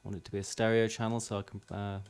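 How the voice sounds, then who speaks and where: A man's voice: fairly low pitch, flat delivery, rapid-fire, darkish tone, smooth. A man presenting an informal music production tutorial, explaining step by step in a slightly hesitant way. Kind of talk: informal software tutorial demonstration. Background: drum and music playback.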